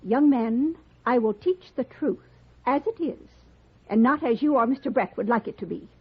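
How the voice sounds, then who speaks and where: Stern high-voiced frontier schoolteacher. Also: female voice, animated, moderate pace, breathy edge. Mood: indignant. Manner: formal, prim, firm. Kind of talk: old-time radio western acting. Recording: muffled, noisy vintage radio recording.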